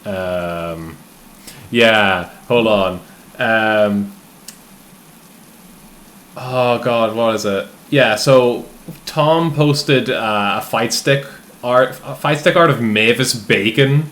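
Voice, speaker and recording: A man's voice: on the low side, drawn-out words, a bit bright. A man in his late twenties hosting a game stream, chatting casually, laughing often and swearing freely. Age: late 20s. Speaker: streamer, host. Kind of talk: casual chat while gaming.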